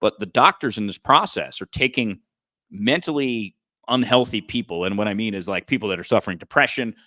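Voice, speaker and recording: A man's voice: lowish voice, lively intonation, brisk, pushed and bright, no echo, studio quality. A man co-hosting a podcast, explaining in an earnest, casual political-talk manner. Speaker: podcast co-host.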